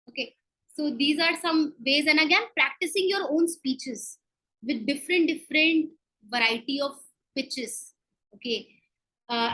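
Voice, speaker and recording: Female coach, high voice, instructional delivery, emphatic key words, deliberate pausing. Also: fairly bright tone, low-quality recording. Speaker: public speaking coach, presenter. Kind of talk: instructional talk on public speaking.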